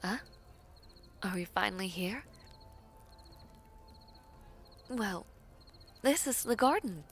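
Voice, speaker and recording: A woman playing a devoted, teasing princess in a romantic audio roleplay, speaking formally and coyly with breathy, whispery softness. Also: mid-range voice, a little hiss or hum. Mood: delighted. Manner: slow, formal, coy, often dropping to breathy whispers.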